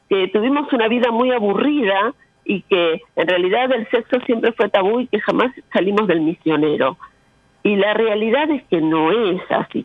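A woman's voice: mid-range voice, slightly rough, no room sound, narrow, tinny audio. A woman in her fifties with an Argentine accent, speaking frankly and emphatically as an interview guest, often with rhetorical questions. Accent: Argentine Spanish. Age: 50s. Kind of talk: candid conversational interview.

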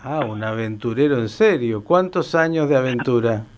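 Playful argentine radio host. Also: male voice, medium pitch, moderate pace. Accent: Argentine Spanish. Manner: playful, chatty, drops in English and German. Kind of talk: casual radio interview.

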